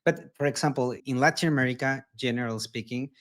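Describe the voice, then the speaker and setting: Mexican-accented founder, earnest and repetitive. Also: male voice, medium pitch, fast, fairly bright tone. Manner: earnest, repeats words, non-native phrasing. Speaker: startup founder guest. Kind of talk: casual interview answers.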